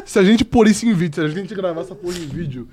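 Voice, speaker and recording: A man's voice: high voice, lively intonation, quick pace, warm tone. A young man from the interior of São Paulo with its accent, co-hosting a casual stream in a laid-back, teasing way. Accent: Brazilian Portuguese, São Paulo interior. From interior of São Paulo state, Brazil. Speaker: co-host. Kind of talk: casual live-stream chat.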